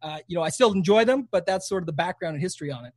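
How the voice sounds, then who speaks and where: A man's voice: high voice, expressive, very fast, no room sound, studio quality. A Canadian man with a Canadian accent, chatting casually as a podcast guest, rambling with lots of "you know". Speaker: podcast guest, fantasy sports player. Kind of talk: casual interview chat.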